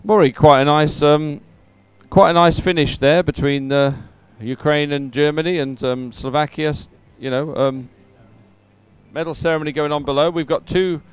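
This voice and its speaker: A man's voice: on the high side, steady tone, normal speed, smooth, dry recording. A man giving live race commentary in an informal, conversational and encouraging way. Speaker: sports commentator.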